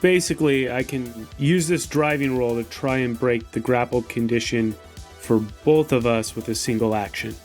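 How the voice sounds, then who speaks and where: A man playing a tabletop game, explaining his moves and describing action in a deliberate, casual way. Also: mid-range voice, fairly even intonation, soft background music.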